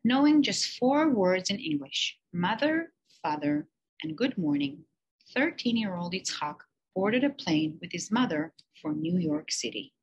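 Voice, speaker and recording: A woman with a soft, breathy voice reads a children's picture book aloud in a middle-pitched register. She talks like a teacher at story time and leans on key words with bright jumps in pitch. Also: unhurried, highs cut off. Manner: expressive storytelling with lifted emphasis on key words.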